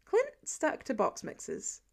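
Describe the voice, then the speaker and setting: A woman reading a story aloud as an expressive audiobook-style narrator of fan fiction. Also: high voice, slow pace, gravelly, husky.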